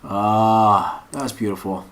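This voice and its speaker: A man's voice: lowish voice, takes it slowly, a bit bright. A man giving a casual, unscripted screen-share tutorial, rambling and thinking aloud as he searches. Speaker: online course instructor. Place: at a computer. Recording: noisy room with keyboard and mouse clicks. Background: typing and mouse clicks.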